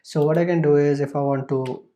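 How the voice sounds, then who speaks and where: A man's voice: fairly high pitch, fairly even intonation, brisk, dark tone. A man explaining programming while running code on his screen, in a loose, repetitive way with many fillers and restarts. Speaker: programming tutor. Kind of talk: screen-recorded coding tutorial.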